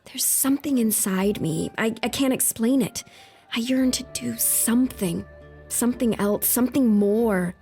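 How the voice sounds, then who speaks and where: A woman's voice: on the high side, measured pace, warm tone, soft background music. An actress playing a determined young noblewoman in an audio drama, speaking in a polite, earnest, formal way. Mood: yearning.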